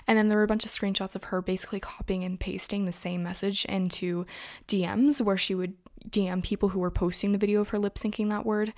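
A young woman talks straight to her viewers in a loose, chatty vlog style, her voice slightly raspy today. She sits in a middle pitch for a woman and keeps the talk rolling with constant "like" and "you know". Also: flat delivery, fast, darkish tone. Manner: chatty, filler-heavy, frequent "like" and "you know". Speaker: influencer news vlogger. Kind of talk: casual gossip commentary to viewers.

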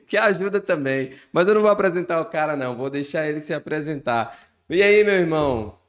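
A man's voice: a little high, animated, normal speed. A man around forty hosting a podcast, upbeat and jokey in a chummy way. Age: 40s. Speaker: podcast host.

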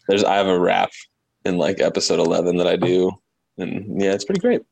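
A man's voice: low voice, fairly quick, creaky. A man chatting casually with friends as a podcast crew member, informal and sweary, often giggly as he talks. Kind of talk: casual group chat. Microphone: online voice chat. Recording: steady background noise and clicks.

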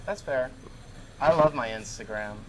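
A man's voice: medium pitch, a little slow, a little hiss or hum, rough recording. A young man interviewing someone in a casual chat on a cruise ship, rambling with lots of fillers and frequent laughs.

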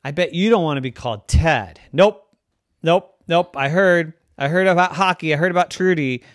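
Someone doing a feisty squeaky hamster. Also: normal speed, dry recording, clean studio sound, lightly acted. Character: small talking hamster, feisty and touchy.

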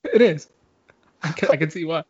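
A man's voice: on the high side, animated, moderate pace, darkish tone, husky, dull audio. A man in casual chat on a call, easygoing and agreeable, who laughs often.

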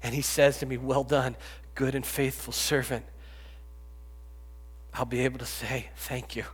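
A man in his fifties preaching a sermon, rising to loud pleading and dropping to hushed whispers. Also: medium pitch, little pitch movement, a little slow, slightly rough. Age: 50s. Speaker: pastor. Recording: long reverb, echoey hall.

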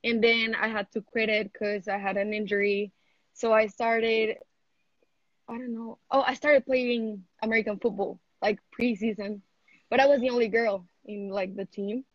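A woman's voice: fairly high pitch, fairly even intonation, normal speed, dry recording, muffled recording. A seventeen-year-old woman from Mexico, an athlete, chatting casually in an interview over a video call and saying "like" a lot. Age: teens. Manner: chatty, heavy use of "like".

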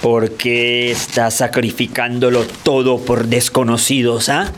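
An actor playing a bitter, fearful older Colombian husband in a radio drama, halting and complaining. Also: male voice, mid-range voice, level tone, background noise, lightly acted. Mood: bitter, pleading.

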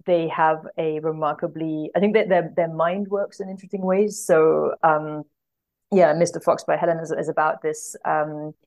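A woman with a low voice and plenty of vocal fry talks as a podcast guest. She is conversational and thoughtful, feeling her way through each point and rephrasing as she goes. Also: steady tone, normal speed, darkish tone. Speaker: podcast guest, literary translator. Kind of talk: casual interview chat about books.

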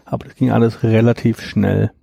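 A man being interviewed about his book, talking in a relaxed, hesitant way with repeated words and fillers. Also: fairly low pitch, brisk, vocal fry, husky, no echo. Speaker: guest, author of a book on stuttering. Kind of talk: conversational interview.

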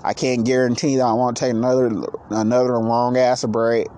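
A man in his late thirties hosting a casual solo podcast, who says he is low on energy and talks in a rambling, halting way with many restarts. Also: level tone, mellow. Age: 30s. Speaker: podcast host.

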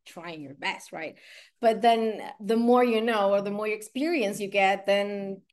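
A woman's voice: mid-range voice, measured pace, darkish tone. A woman hosting a casual interview, speaking directly and bluntly, with many fillers. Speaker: interview host.

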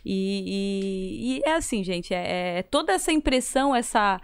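A woman's voice: medium pitch, expressive, a little slow, clear, resonant tone. A woman with a southern Brazilian accent from Santa Catarina, chatting casually as a singer guest and acting out remembered dialogue. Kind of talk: casual conversational storytelling.

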